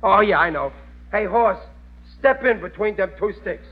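A man's voice: high voice, animated, normal speed. An actor playing a bumbling, grumbling working-class father in a vintage radio comedy, with folksy, ungrammatical speech. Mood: exasperated, wisecracking. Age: middle-aged. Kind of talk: 1940s-50s radio comedy acting. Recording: muffled, noisy old radio recording.

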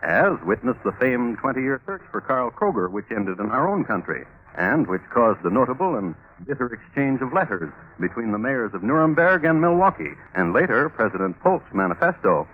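An actor playing a wry crime chronicler, narrating in a dry, deliberate way as host of an old radio drama. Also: male voice, medium pitch, sing-song, a little hiss or hum, natural voice. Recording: old narrowband radio recording, music behind.

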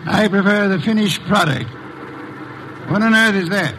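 An actor playing a pompous, grumbling detective genius in an old-time radio drama, speaking in formal, curt phrases. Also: male voice, high voice, moderate pace, pushed and bright. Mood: grumpy, impatient. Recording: noisy vintage radio recording.

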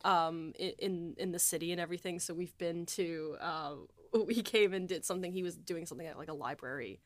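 A woman's voice: on the low side, fairly quick, dry recording. A woman hosting a casual podcast chat in a playful, giggly manner. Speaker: podcast host.

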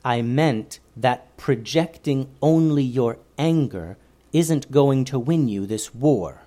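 A man reading a storybook aloud and voicing a wise female forest leader who speaks slowly and solemnly. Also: mid-range voice, expressive, mellow. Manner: slow, measured, solemn.

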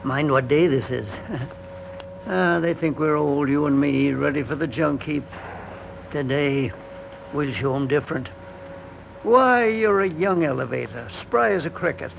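A man playing a kindly, sentimental elevator operator in his seventies in an old-time radio drama, with a creaky, folksy, hesitant manner. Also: on the high side. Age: older. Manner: folksy, chuckling, hesitant, talks tenderly. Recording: dull, hissy vintage radio recording.

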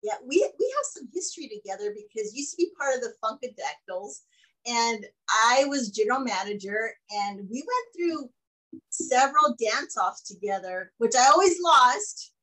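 A woman's voice: a little high, animated, moderate pace, pushed and bright, poor audio. Bubbly woman podcast host. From Mexican heritage. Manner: upbeat and gushing, with frequent laughs. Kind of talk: casual interview chat.

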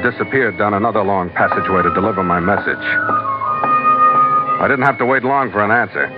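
An actor playing a steady, seasoned sea captain, narrating a 1940s radio adventure in a clipped, dramatic, hard-boiled manner. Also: male voice, lowish voice, brisk, lightly acted. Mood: tense, uneasy. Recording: muffled old radio recording. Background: dramatic music.

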